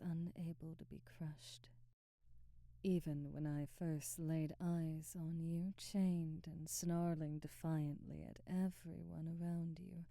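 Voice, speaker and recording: A woman playing an elegant, domineering vampire noblewoman in an intimate ASMR roleplay, speaking softly and breathily in formal, measured phrases. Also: low voice, dry recording, heavily acted. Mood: admiring, teasing. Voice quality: soft, breathy, whispery. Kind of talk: intimate ASMR roleplay, slow whispery delivery.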